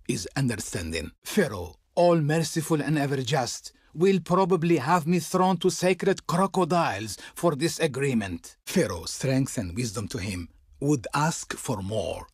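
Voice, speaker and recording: An actor voicing a servile, ceremonious and pompous Egyptian diplomat in a theatrical video game style. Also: male voice, mid-range voice, slightly rough, no room sound, clean studio sound, heavily acted. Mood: grudging. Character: servile, smooth-tongued Egyptian diplomat of Pharaoh, pompously reverent.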